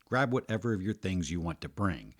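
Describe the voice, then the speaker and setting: A man's voice: low voice, very animated, brisk, pitched above the performer's own voice. A man voicing a reserved, awkward young male co-leader of a fantasy monster-hunting guild. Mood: matter-of-fact.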